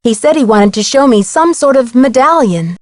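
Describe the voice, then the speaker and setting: A woman's voice: fairly high pitch, lively intonation, moderate pace, no room sound, studio quality, close to an everyday voice. A woman playing a plucky, level-headed young amateur detective in scripted video game dialogue. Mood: matter-of-fact. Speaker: game voice actor.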